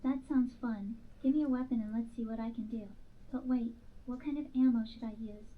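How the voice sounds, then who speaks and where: Brief: synthetic female voice as a sassy AI chat companion, slightly high, phone-thin playback. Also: steady tone, a little fast, close to an everyday voice. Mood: cheeky. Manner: playful, teasing, cheeky banter. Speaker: AI companion app voice. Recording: tinny phone-like speaker playback.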